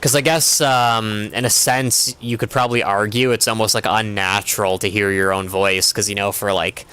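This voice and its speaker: A man's voice: lowish voice, steady tone, normal speed, pushed and bright, slight background noise. A young man streaming a game, chatting casually in a rambling way with constant filler words. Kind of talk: casual chat while gaming.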